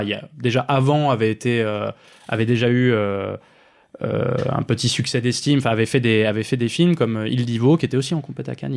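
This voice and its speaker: Podcast co-host, male, mid-pitched, hesitant delivery with frequent restarts. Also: vocal fry, a little mellow. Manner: hesitant, many fillers and restarts. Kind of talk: casual film podcast chat.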